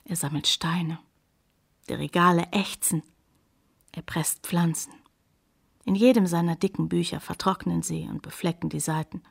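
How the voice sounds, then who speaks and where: A woman acting in a German radio play as a sceptical, long-suffering wife, husky and creaky, dry and reproachful. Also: lowish voice, fairly even intonation, moderate pace, long pauses. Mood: weary complaint.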